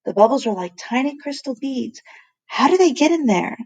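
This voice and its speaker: A woman's voice: high voice, animated, moderate pace, darkish tone, far from the mic, voice pushed up, low-quality recording. A woman voicing a curious young girl who is full of wonder and questions.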